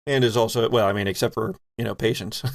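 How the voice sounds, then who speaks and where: A man's voice: lowish voice, steady tone, fast, no room sound. Man game master with a dry close sound. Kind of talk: narrating a tabletop horror role-playing game.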